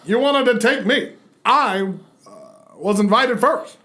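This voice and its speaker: A man's voice: very high voice, takes it slowly, pushed and bright, exaggerated voice. A man voicing a hapless, aggrieved henchman and husband in a casual, whiny, apologetic way for a comic book reading. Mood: indignant.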